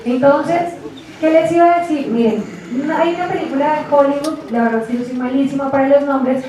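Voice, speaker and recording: A woman giving a university lecture, chatty and lively, often asking the class rhetorical questions. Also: sits high, moderate pace. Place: lecture room. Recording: echoey hall, distant mic.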